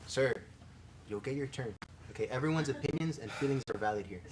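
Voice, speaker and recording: A man plays a support group leader who talks in an earnest, careful way. His pitch is middling, and faint noise sits behind him. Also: brisk, natural voice, low-quality recording. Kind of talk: live improv sketch.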